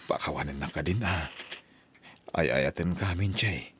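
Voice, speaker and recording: A man's voice: rumbling low, unhurried, a bit bright, slight background noise. A man with an Ilocano accent acting as a regretful husband in an audio drama. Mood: pleading. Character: low-key husband, regretful former drinker, pleading.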